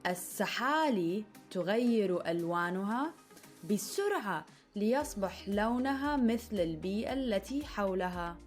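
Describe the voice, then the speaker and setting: A young woman presenting an explanatory vocabulary video at a quick pace. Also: medium pitch, expressive, faint music behind. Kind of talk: video narration.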